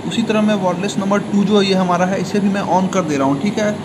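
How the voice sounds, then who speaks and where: A man's voice: high-pitched, fairly even intonation, brisk. A young man gives an informal how-to tutorial, often adding a short checking tag after each explanation. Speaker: video tutorial host. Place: indoors at a table. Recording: noisy room, clear background hum.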